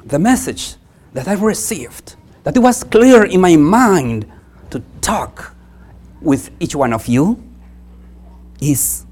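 A visiting preacher, a native Spanish speaker with a Spanish accent, delivers a church sermon in a halting, earnest way, repeating phrases for emphasis. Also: medium pitch, a little mellow, slightly rough.

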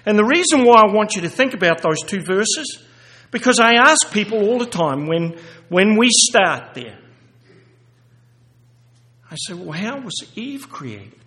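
A man giving a sermon and reading scripture aloud, halting with many fillers and restarts, then growing louder and more emphatic as he reads. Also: sits high. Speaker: lay preacher. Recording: echoey hall reverb.

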